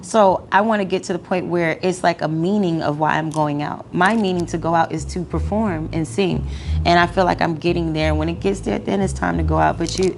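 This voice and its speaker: A young adult woman talking casually as an interview guest, animated and full of slang, laughing often. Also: fairly low pitch, a little fast, creaky. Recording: noisy background.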